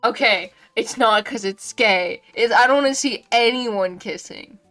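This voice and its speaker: A woman's voice: a little high, unhurried, fairly bright tone. A woman game streamer giving casual, sweary and effusive livestream commentary on a visual novel. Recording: music behind the voice.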